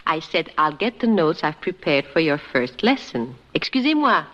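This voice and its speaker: An actress playing a charming, flirtatious French tutor in a 1950s radio comedy, with a soft, musical voice. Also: female voice, sits low, very animated, normal speed, full character voice. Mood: gracious. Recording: muffled 1950s radio recording.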